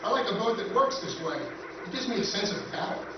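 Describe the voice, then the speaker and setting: A man playing a breezy high-voiced surveyor. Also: brisk. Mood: casual. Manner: breezy, joking. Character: easygoing male surveyor partner. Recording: echoey hall, far mic, muffled, noise.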